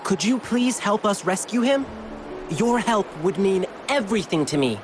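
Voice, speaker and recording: A man's voice: high-pitched, animated, normal speed. A man playing an earnest, protective young hero in a video game, with a polite, reassuring way of talking. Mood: urgent, earnest. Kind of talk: scripted video game voice acting. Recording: game music under the voice. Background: background music.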